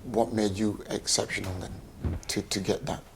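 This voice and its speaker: A man hosting a local television interview, asking questions and giving quick encouraging affirmations. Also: on the low side, little pitch movement, vocal fry, noisy background.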